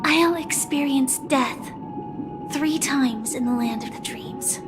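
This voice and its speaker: Resolute young game heroine, high soft voice, measured slow delivery, wistful, music behind. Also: female voice. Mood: solemn, resolute. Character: solemn, gentle young woman, resolute and wistful. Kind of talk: dramatic video game voice acting.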